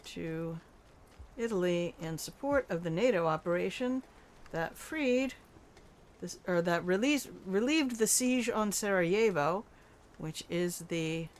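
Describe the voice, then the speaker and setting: Older woman, low chatty voice. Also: expressive, unhurried. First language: Afrikaans. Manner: chatty, rambling asides, reacts aloud to the game. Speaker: gaming streamer. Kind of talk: casual gameplay commentary.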